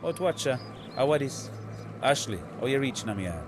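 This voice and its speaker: An actor with a Jamaican accent playing a man caught between two women, talking in excitable patois in a comedy skit. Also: medium pitch, faint music behind, natural voice. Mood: indignant. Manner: excitable, rapid indignant patois.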